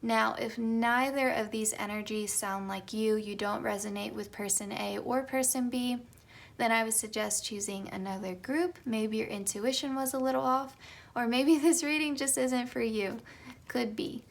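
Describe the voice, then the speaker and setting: A young woman doing a casual tarot reading. She has just woken up and her voice is slightly raspy, and she talks in a chatty way with soft whispered asides. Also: on the high side, fairly even intonation, normal speed. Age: young adult. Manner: chatty, light laughs, soft whispered card calls. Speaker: tarot reader.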